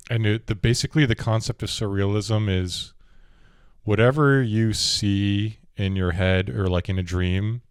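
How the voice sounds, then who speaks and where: Young guy w a deep low voice, dry sound, just rambling thru a story and laughing. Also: a little slow, clear, resonant tone, dry recording. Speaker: podcast host. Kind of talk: casual storytelling chat.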